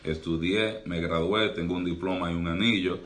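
A man's voice: low voice, fairly even intonation, normal speed, a bit bright. A young man from the Republic, a doctor and pastor telling his life story earnestly in a podcast interview. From Dominican Republic. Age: young adult. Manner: earnest, reflective, uses fillers like eh.

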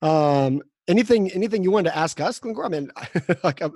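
A man hosting a podcast, chatting casually in a halting, informal way. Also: high voice, animated, darkish tone, dry recording, clean studio sound. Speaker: podcast host. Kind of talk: casual chat.

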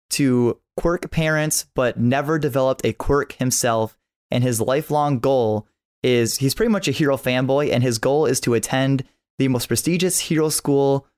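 A man's voice: mid-range voice, a little mellow. A man guesting on a podcast, explaining things in a casual, earnest way.

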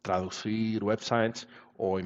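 Low-voiced man, lo-fi sound. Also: flat delivery, takes it slowly. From Portugal. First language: Portuguese. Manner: thoughtful, many restarts and tag questions. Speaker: guest, web standards expert. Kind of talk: casual interview answers.

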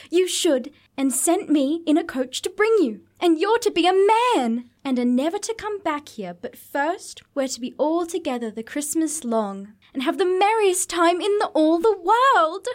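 A woman's voice: very high-pitched, pitched above the performer's own voice. A woman voicing a loving, eager little girl in a storybook reading, tender in manner. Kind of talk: audiobook character acting.

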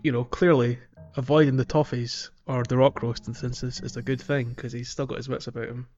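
A man's voice: medium pitch, little pitch movement, fairly quick, darkish tone. Dull-sounding man chatting on a gaming podcast. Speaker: podcast co-host. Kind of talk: casual gaming podcast chat. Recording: dull lo-fi audio, music behind.

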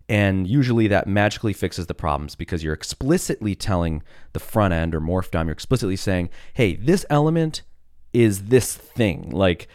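A man hosting a casual solo podcast, sick with a cough that has deepened his voice, rambling with many fillers and restarts. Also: a little mellow, no echo, studio quality. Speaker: podcast host.

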